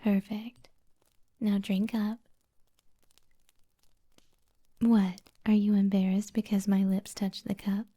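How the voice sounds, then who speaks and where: A woman playing a kind, flirty witch healer in an intimate ASMR roleplay, speaking in a soft, breathy, often whispered voice with gentle teasing. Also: medium pitch, level tone. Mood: tender, teasing. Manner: slow, soothing, gently teasing.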